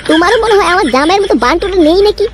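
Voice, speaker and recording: Flirty pushy cartoon housewife, tiny high voice, talks quick, noisy recording. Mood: eager, impatient. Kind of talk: amateur comedy cartoon dubbing.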